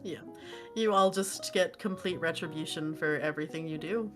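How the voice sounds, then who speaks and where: A woman's voice: low-pitched, normal speed, a bit bright, soft background music. A woman guesting on a casual stream chat, giggly, easygoing and self-deprecating.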